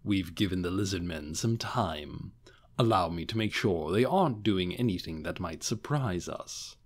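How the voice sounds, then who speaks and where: A cautious male overlord, voiced by a man narrating in a fantasy audiobook. He sounds measured but hesitant, awkwardly keeping up the air of a wise ruler. His pitch moves around freely. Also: animated. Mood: musing.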